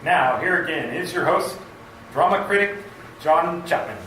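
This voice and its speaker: A man's voice: a little high, animated, measured pace. A man announcing credits in old-time radio style, recorded in a large echoey room. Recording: echoey stage, distant, loud hiss.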